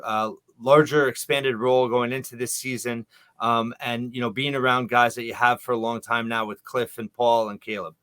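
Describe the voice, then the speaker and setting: A male podcast host conducting a sports interview. He speaks conversationally, in a measured, slightly halting way, at a middling pitch for a man. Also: pushed and bright, smooth.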